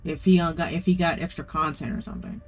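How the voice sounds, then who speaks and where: Guy doing laid-back game stream chat, higher voice, soundtrack going under him. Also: fairly even intonation, fast, over music. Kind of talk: casual gameplay commentary. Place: streaming setup, game audio behind.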